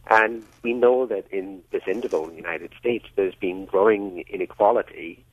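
A middle-aged man from Scotland speaks in a fairly low voice, explaining economics slowly with frequent uhs. His voice comes down a tinny, narrow phone line. The sound is dry and close. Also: husky, no echo. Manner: hesitant, many uhs, measured explanations. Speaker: economics professor guest. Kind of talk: radio interview answers.

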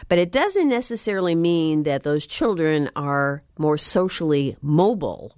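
A woman with a notably low voice hosts a public radio interview in a dry studio sound. She asks friendly, loosely strung questions and laughs easily along with her guest. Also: no echo. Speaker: radio host.

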